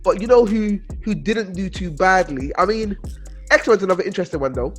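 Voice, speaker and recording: Man, high voice, animated casual chat, emphatic repetition, light music behind. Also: a little fast. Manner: animated, emphatic, repeats himself. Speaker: podcast panel member.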